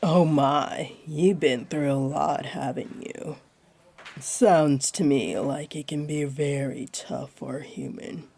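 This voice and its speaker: A woman voicing a flirty, comforting female jaguar beastling in a roleplay audio, with a deep, mellow voice and a teasing, soothing manner. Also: fairly even intonation, slow, gravelly, husky, exaggerated voice. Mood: sympathetic, comforting.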